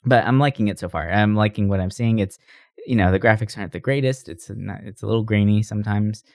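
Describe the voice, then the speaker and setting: Man, slightly low pitch, dry sound, casual gaming chat, informal, podcast co-host. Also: fast talker, creaky, a little mellow, dry recording.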